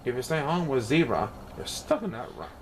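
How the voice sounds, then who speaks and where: Male storybook reader, playful and theatrical, very lively pitch, faint noise in the recording. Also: fairly quick. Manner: theatrical, playful rhyming delivery with exaggerated emphasis. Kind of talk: expressive children's picture-book read-aloud.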